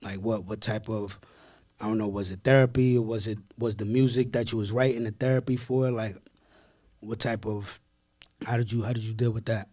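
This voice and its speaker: A man co-hosting an interview in a casual, slangy way, throwing in animated interjections. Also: lowish voice, normal speed, dry recording, studio quality. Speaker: interviewer, co-host.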